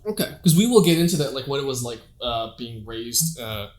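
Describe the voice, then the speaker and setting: A man's voice: mid-range voice, animated, brisk, darkish tone. Laid-back man hosting a podcast. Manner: laid-back, rambling with many fillers. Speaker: podcast host. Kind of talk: casual interview chat.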